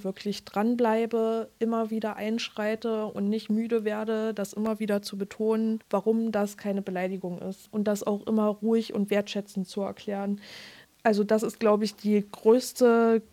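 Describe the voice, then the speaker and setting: A woman youth club worker from Germany, explaining her work in a thoughtful, measured way and searching for words. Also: medium pitch, mellow. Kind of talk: interview clip, reflective explanation.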